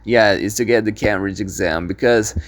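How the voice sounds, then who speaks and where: A man's voice: lowish voice, normal speed. Young guy from brazil rambling on, lots of "like" and "so basically", laughs a bit. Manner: rambling, many fillers and restarts; frequent light laughs. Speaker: vlogger and online teacher. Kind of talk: casual vlog monologue.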